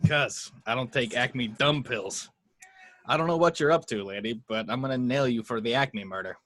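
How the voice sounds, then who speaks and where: A man playing a cynical, sarcastic private detective in an amateur table read, gruffly dismissive in his delivery. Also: medium pitch, moderate pace, a bit bright, no echo, lightly acted. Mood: threatening.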